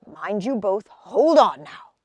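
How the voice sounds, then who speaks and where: A woman's voice: mid-range voice, sing-song, slow, a little mellow, slightly rough, no echo, exaggerated voice. Woman reading as a brusque, bossy cross squirrel. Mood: brisk, commanding. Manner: brusque, scolding, commanding. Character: cross, bossy male squirrel scolding naughty children. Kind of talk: storybook read-aloud.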